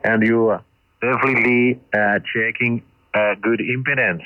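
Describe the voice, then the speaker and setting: Over a ham radio link, a man who is not a native English speaker talks haltingly, searching for words and repeating himself. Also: mid-range voice, steady tone, dry recording. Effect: ham radio transmission. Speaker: amateur radio operator. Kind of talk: casual radio conversation. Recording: muffled, band-limited shortwave radio signal.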